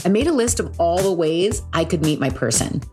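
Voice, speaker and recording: A woman's voice: fairly low pitch, animated, brisk. Upbeat woman in her forties over music. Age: 40s. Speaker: podcast host. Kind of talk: scripted show intro.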